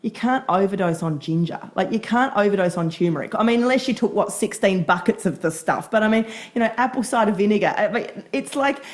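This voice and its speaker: A woman co-hosting a casual chat podcast, hoarse from losing her voice, chatty and laughing often. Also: medium pitch, animated, brisk, few pauses. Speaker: podcast co-host.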